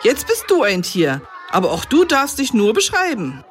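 A sing-song, encouraging nursery teacher. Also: female voice, fairly high pitch, wildly swooping pitch, normal speed, slight background noise. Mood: praising. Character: cheerful kindergarten teacher. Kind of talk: children's radio comedy acting.

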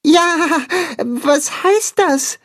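A storyteller voicing a small, anxious young farm animal that pleads and whines in a very high voice. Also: lively intonation, drawn-out words, exaggerated voice. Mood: worried. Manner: whiny, pleading.

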